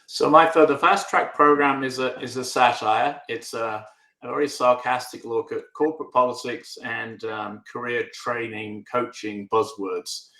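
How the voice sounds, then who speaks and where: English-accented male director, halting and wry, on a video call from a roomy, echoey space. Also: mid-range voice, steady tone, bright, forceful tone. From England. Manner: hesitant, many restarts and fillers. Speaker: filmmaker guest. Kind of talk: remote interview answers.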